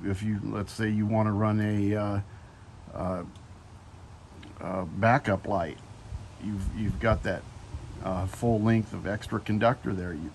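A man's voice: fairly low pitch, a little mellow, a little hiss or hum. A middle-aged man casually reviewing trailer wiring outdoors in a driveway, rambling with many fillers and restarts, filmed on a handheld phone. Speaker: DIY video maker.

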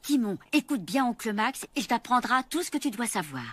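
Une femme qui joue une mère suricate protectrice et inquiète dans un doublage de dessin animé, avec un jeu très émotif. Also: female voice, a little high, big pitch swings, a little fast, husky, dry recording. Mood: encouraging. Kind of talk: animated film dub acting.

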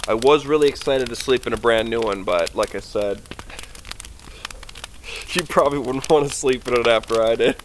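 A man's voice: mid-range voice, normal speed, long pauses, a little hiss or hum. Chatty man at a snowy night campfire. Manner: chatty and easygoing, rambling with fillers. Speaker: outdoor vlogger. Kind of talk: casual rambling talk to camera. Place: snowy forest campsite at night, outdoors by a fire. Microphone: camera on a tripod a few meters away.

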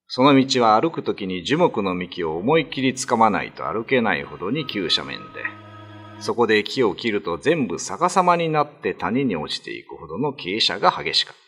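Animated male ghost story narrator. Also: lowish voice.

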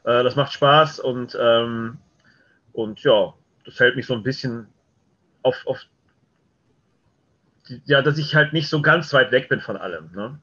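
Male guest, bright forceful voice, casual chat, slightly dull lo-fi recording. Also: medium pitch, measured pace, pauses often. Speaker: interview guest, writer and director. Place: home room, video call. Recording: dull, lo-fi video call audio.

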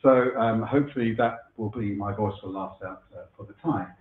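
A middle-aged man with a husky voice from a cold, giving a chatty conference keynote in a lecture room, with many fillers and restarts. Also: fairly low pitch, moderate pace. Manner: chatty, self-deprecating, frequent fillers and restarts. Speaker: keynote speaker. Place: lecture room before a live audience. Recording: echoey room over a phone-like line.

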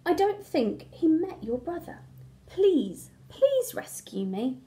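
Woman playing a desperate chunky crayon, high and lively. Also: takes it slowly, full character voice. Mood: desperate, complaining. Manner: pleading, complaining. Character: desperate, worn-out big chunky toddler crayon, pleading.

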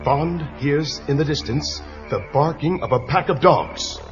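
A man narrating a children's action story with punchy drama. His voice runs a touch high. The sound is a muffled 1980s tape with music playing throughout. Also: a little slow.

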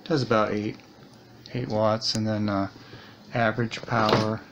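Male hobbyist repair host, unhurried and lowish, casual thinking-aloud delivery, faint background noise. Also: level tone. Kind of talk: casual hands-on repair demonstration. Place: indoors at a workbench.

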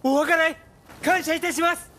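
A man's voice: very high voice, fairly even intonation, normal speed, bright, forceful tone, slight background noise. A male actor playing an eager, humble, ingratiating merchant in a samurai game, overly polite and deferential. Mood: eager deference. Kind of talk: Japanese samurai game voice acting.

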